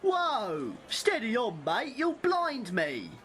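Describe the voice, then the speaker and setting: A man's voice: very high voice, huge pitch swings, slow, a bit bright, a little hiss or hum, exaggerated voice. A man with a British accent playing a defensive, sardonic accused passenger in an amateur radio play. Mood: annoyed. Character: defensive passenger accused of theft, protesting innocence.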